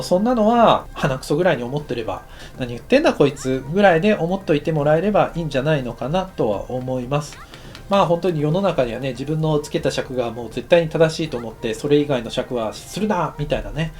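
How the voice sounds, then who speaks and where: A man's voice: on the high side, a little mellow. A man giving a casual instructional lesson in a conversational manner, as a director explaining his work step by step. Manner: conversational, counts aloud while working. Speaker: animation director teaching.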